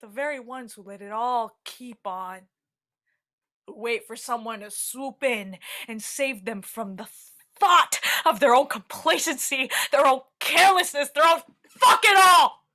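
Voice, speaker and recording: A woman acting a bitter, defiant prisoner in a theatrical staged reading, profane and impassioned, swinging from muttering to shouting. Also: sits high, animated, measured pace, exaggerated voice. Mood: furious, defiant. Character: bitter, defiant imprisoned woman facing the end of the world.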